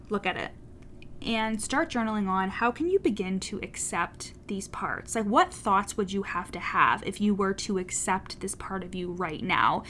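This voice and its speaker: A young woman with a stutter, hosting a casual solo coaching podcast in a candid, swearing, animated way. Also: mid-range voice, slight background noise.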